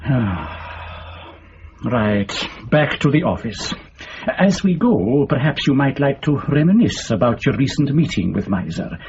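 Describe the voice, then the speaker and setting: An actor playing a wry, sceptical British intelligence officer in a radio drama. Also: male voice, medium pitch, big pitch swings, unhurried, a little mellow, highs cut off, a little hiss or hum.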